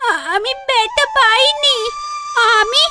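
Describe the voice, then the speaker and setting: A woman's voice: very high-pitched, takes it slowly. A woman voicing a sweet, plaintive little village girl in a cartoon, whiny and pleading. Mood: hurt, plaintive. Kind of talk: cartoon voice acting. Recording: clearly noisy background.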